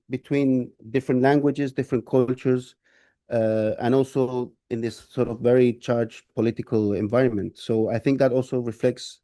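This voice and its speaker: A man in his fifties, from Chile, answers an interviewer's questions with a very dark, mellow voice. He talks thoughtfully, restarting and circling back often. The sound is dry and close. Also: medium pitch, no room sound. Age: 50s. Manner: thoughtful, many restarts and fillers. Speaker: guest artist.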